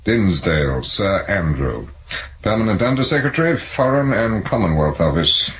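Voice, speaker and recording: A man playing a gruff, impatient police superintendent in a 1970s radio drama, speaking in a clipped, authoritative way. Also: fairly low pitch, very animated, moderate pace, fairly bright tone. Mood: impatient, probing. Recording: dull, noisy 1970s radio recording.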